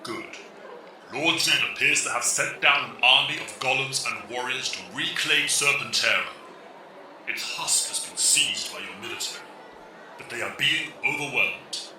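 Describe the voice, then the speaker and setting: A man's voice: medium pitch, bright, forceful tone. Man playing an echoey, commanding alien mentor. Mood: solemn, urgent. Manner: formal, measured. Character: solemn commanding alien mentor of superhero team. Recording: echoey, distant, noisy.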